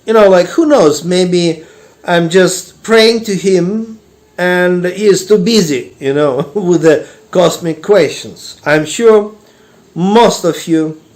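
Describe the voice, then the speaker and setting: A man with a Russian accent telling a personal story slowly, with many pauses and fillers. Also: sits high, a little mellow. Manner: unhurried, halting, many fillers and restarts. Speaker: meditation teacher and artist.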